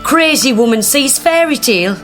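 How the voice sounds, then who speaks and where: An actress playing a wary, troubled woman who witnessed an arson, speaking bluntly and haltingly. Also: high voice, lively intonation, fairly bright tone, faint music behind. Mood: uneasy, guarded. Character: troubled working-class woman haunted by a unicorn vision. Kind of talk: radio drama acting.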